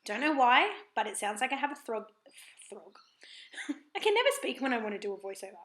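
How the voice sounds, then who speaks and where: Woman, Australian accent, husky and croaky, chatty rambling voiceover, roomy echo. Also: on the high side, big pitch swings, fairly quick, fairly bright tone. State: hoarse, throat croaky. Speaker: planner vlogger. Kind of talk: casual voiceover chat. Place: home.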